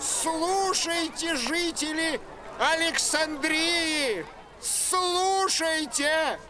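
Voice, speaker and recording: Very shrill cartoon town crier. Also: male voice, over music, heavily acted. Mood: proclaiming. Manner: drawn-out proclaiming delivery. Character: loud town crier proclaiming news to townsfolk. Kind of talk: animated film voice acting.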